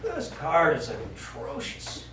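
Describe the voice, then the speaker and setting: A man's voice: on the high side, wildly swooping pitch, takes it slowly, distant mic, full character voice. A man playing a weary, wealthy husband with a dry, hesitant manner in a live stage play. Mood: wryly indulgent. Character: wry, weary, wealthy husband in poor health. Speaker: stage actor. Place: small theatre studio, recorded from the audience. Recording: echoey stage recording from afar, faint noise.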